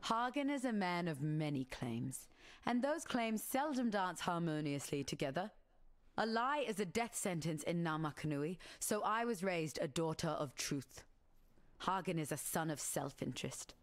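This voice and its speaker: A woman playing a stern, low-voiced warrior woman. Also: lively intonation, close to an everyday voice. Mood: scornful. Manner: solemn, measured, formal. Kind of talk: scripted video game character dialogue.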